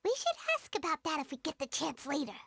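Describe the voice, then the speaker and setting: A woman's voice: high-pitched, very animated, fast talker, gravelly, fairly bright tone, slight background noise, exaggerated voice. A woman playing a small, chirpy, childlike female fairy companion in a video game, earnest in manner. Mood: curious. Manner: speaks of self in third person. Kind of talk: video game character acting.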